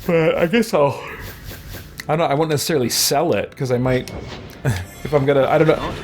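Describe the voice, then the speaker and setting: A man's voice: on the high side, normal speed, faint music behind. A man streaming a video game, thinking aloud in casual commentary and chatting with viewers using mild exclamations.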